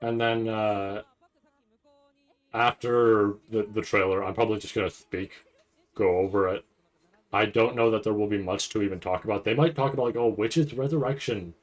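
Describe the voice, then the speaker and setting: A man doing casual livestream reaction commentary, rambling and stammering over repeated words with a nervous, excitable manner. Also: fairly low pitch, normal speed. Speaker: streamer reacting to a live anime event.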